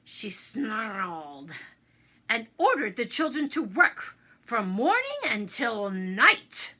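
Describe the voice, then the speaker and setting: A woman narrating a children's bedtime story in a theatrical, expressive manner, as a storyteller. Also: on the high side, slow. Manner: theatrical, expressive, with exclamations and asides.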